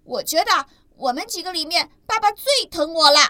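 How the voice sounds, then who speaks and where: A woman's voice: extremely high pitch, expressive, normal speed, pushed and bright, no room sound, voice pushed up, full character voice. A woman voicing a boastful little baby ankylosaur with a smug, childish way of talking.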